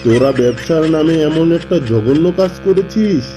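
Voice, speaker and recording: A man's voice: fairly high pitch, lively intonation, moderate pace, close to an everyday voice. A man voicing a blustering, aggrieved tiger uncle in a children's cartoon, exclaiming and scolding theatrically. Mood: angry, accusing. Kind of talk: Bengali children's cartoon voice acting. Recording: music behind the voice.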